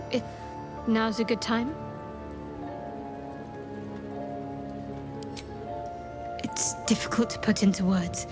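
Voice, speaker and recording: A woman playing a guarded, secretive young cleric of a dark goddess in a video game, speaking in a measured, reserved, wry way. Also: on the high side, close to an everyday voice. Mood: confiding. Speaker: video game companion character. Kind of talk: video game cutscene acting. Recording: music behind the voice.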